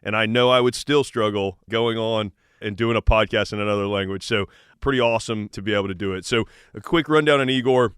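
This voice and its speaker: An American man speaks as a podcast host in a low register. His voice is clean and resonant, recorded close and dry. He talks informally and encouragingly, as in a relaxed interview. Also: fairly quick, clear, resonant tone, no room sound. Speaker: podcast host, army sergeant major.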